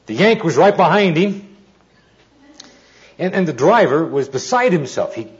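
A man giving an informal sermon with anecdotes, telling them deliberately with pauses and dry humour. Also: fairly high pitch, big pitch swings. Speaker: preacher, Bible teacher. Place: speaking live to an audience. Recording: dull, muffled lo-fi recording.

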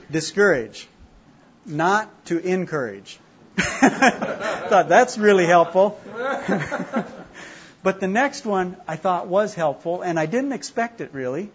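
A man's voice: sits high, animated, slow pace, breathy edge, highs cut off. A man from Minnesota with a Minnesota accent, leading an informal class discussion in a chatty, self-deprecating way. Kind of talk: informal group teaching.

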